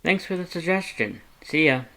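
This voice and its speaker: Young human for an audio story: polite, inquisitive, dry, light high pitch narrator acting it out. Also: normal speed, rough recording. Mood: curious, helpful. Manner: deadpan, inquisitive. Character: polite young human, curious, deadpan, slyly knowing.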